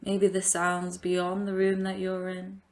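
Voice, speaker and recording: Female meditation guide, lowish and very unhurried, gentle invitational phrasing with plenty of space. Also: monotone. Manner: gentle invitational instruction with long pauses. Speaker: yoga and meditation teacher.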